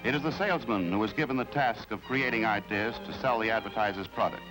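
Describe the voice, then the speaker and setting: A man with an American accent narrates a 1950s training film from a script in a formal, measured, explanatory way. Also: lowish voice, rough recording. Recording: vintage 1950s film soundtrack with music.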